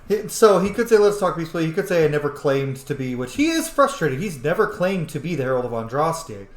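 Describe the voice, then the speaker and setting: A man's voice: a little high, a little fast. A man casually commenting over a roleplay game, joking and swearing. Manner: joking, profane, lively. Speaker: roleplay game player and host. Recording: echoey room.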